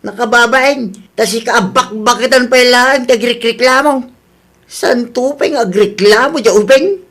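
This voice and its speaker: A village husband with an Ilocano accent, lecturing in a radio comedy drama. His voice is very high for a man, preachy and scolding. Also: unhurried, fairly bright tone, exaggerated voice.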